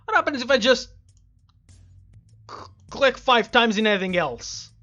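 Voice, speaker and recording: A woman with a high-pitched voice hosts a casual gaming playthrough, her intonation swinging widely. She is exasperated, sarcastic and quick to swear. The recording is slightly dull and muffled. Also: lively intonation, fairly bright tone. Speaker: gaming video host. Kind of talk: casual let's play commentary.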